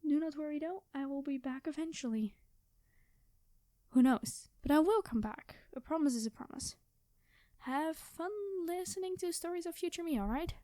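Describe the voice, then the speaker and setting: A young female time traveler, acted by a woman, speaks in a high, soft-edged mellow voice. She is cheeky and secretive, chatting casually and conspiratorially as in an ASMR story roleplay. The sound is very dry. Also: animated, normal speed, no echo. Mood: friendly, reassuring.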